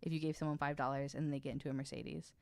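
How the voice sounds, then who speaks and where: A woman with a notably low, smooth voice in casual podcast discussion. She talks informally and hesitantly, with loose swearing, and the sound is very dry. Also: flat delivery, fast talker, darkish tone, no room sound. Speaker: podcast panelist.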